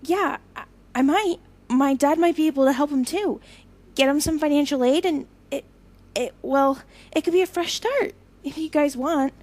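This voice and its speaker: A woman playing an unsure, earnest young woman with powers in an audio drama, speaking in a halting, tentative way. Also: high voice, darkish tone.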